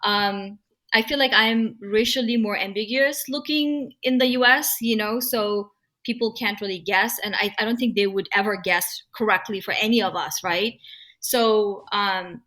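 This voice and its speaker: Bright, animated woman on a panel. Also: mid-range voice. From Nepal. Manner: animated and emphatic, quick to laugh at herself. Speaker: podcast panelist. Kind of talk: casual panel discussion.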